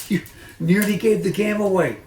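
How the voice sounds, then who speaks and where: A man voicing an indignant, territorial rat in a storybook with a shrill, scolding shriek. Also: measured pace, darkish tone, recorded from a distance. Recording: echoey room, noisy.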